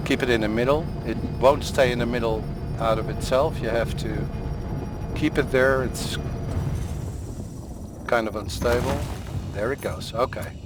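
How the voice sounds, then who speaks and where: A man from the Netherlands with a Dutch accent, calmly explaining step by step while playing a game for a tutorial. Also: medium pitch. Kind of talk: gameplay tutorial commentary. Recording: voice over game sound and music.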